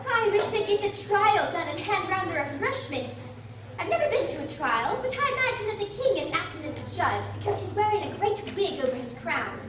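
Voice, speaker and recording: Woman playing prim curious little girl, super high lively voice, radio play, echoey noisy recording. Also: a little fast. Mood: prim, opinionated. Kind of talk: amateur radio drama acting. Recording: echoey room, distant mic, hissy noise.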